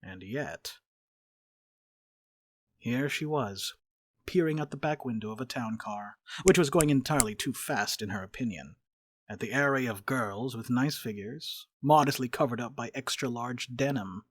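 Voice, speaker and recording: A man narrating a dramatic reading as a storyteller, with expressive, scripted delivery. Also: mid-range voice, moderate pace, husky, no room sound.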